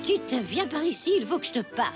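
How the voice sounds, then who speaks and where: A woman's voice: high voice, big pitch swings, quick pace, over loud music. A woman voicing a cloyingly attentive schoolteacher in a French cartoon, cooing and drawing out her words. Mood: calling.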